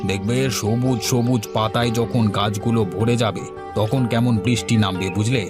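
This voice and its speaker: A man's voice: mid-range voice, steady tone, normal speed, music in the background, close to an everyday voice. A man voicing a glib village headman in a cartoon, giving breezy, matter-of-fact advice. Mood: breezy advising. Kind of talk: cartoon dialogue.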